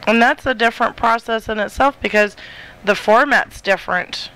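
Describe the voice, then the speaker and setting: A woman's voice: on the high side, normal speed, sharp tone, rough recording. A woman chatting casually in an informal, joking way, chiming in on a conversation. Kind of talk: casual chat.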